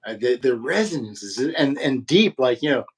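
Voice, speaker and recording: A man with a low voice in casual conversation, rambling and halting with many fillers and restarts. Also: very animated, over music. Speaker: podcast co-host. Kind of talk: casual chat about guitar.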